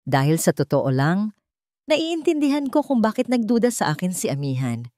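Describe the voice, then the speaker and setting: A woman's voice: medium pitch, sing-song, normal speed, dark tone, no room sound, clean studio sound, lightly acted. A Filipino woman voicing a regretful, earnest male CEO in a romance audio story, speaking in a solemn confessional manner.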